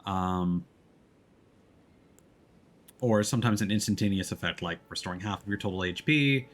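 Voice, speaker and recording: A man giving casual, explanatory let's-play commentary as a game streamer, talking in a halting way. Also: deep voice. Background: background music.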